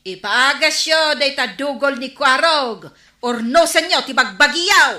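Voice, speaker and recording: A woman's voice: high voice, a little slow, bright, forceful tone. A woman playing a scolding villager in an Ilocano comedy radio drama. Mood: reproving. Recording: echoey room sound.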